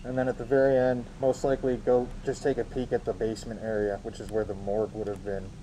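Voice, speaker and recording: A man's voice: on the low side, level tone, quick pace, dark tone, reverberant, a little hiss or hum, low-quality recording. A man talking casually on location as the host of a paranormal investigation. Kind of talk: casual on-location ghost hunt talk. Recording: clear music over location video audio.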